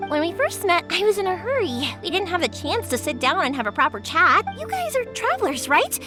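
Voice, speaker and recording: A woman's voice: very high-pitched, big pitch swings, fairly quick, music in the background. An actress voicing a cheerful, kind young woman in an anime-style video game, speaking in a friendly, chatty way. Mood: bubbly chatty. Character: cheerful, kind young woman, fireworks maker, sociable and caring.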